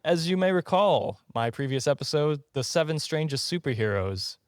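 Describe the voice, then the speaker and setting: A man's voice: on the high side, lively intonation, moderate pace, dry recording. Casual man hosting a podcast, dry studio sound. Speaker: podcast host.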